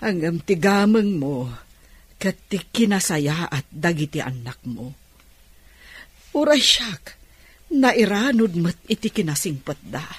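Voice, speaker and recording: A woman's voice: on the low side, very animated, slow pace, pauses often, breathy edge, dry recording, exaggerated voice. A woman playing a remorseful, grateful aging aunt in an Ilocano radio drama. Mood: grateful, moved.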